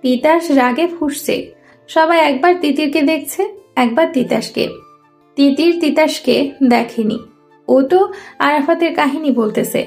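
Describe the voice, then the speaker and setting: High-pitched woman narrating a story. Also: normal speed, pauses often, a little mellow, soft background music.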